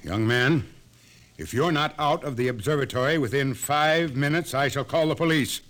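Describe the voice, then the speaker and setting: An actor playing a stern astronomer in an old radio drama, speaking curtly and haughtily. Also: male voice, mid-range voice, moderate pace, a bit bright, close to an everyday voice. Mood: cold. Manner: curt, haughty. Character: stern older male astronomer, hostile and proud. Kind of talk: 1950s radio drama acting.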